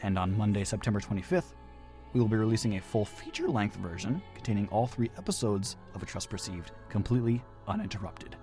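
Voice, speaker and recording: A man delivers a scripted outro and credits over music. His voice is fairly low, with strongly animated pitch movement, like a producer addressing the audience. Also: quick pace. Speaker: writer and producer announcing credits. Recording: music under the voice.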